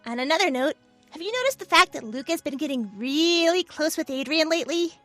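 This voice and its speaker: A woman playing a reckless, excitable teenage heroine with dramatic outbursts. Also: high voice, brisk, no room sound, soft background music. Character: hyper, reckless teen girl hero.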